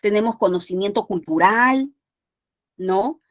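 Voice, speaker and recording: Peruvian woman teacher, mid-pitched, conversational lecturing with many tag questions, dry close sound. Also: measured pace, dry recording. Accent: Peruvian Spanish. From Peru. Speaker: language teacher. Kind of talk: exam prep class lecture.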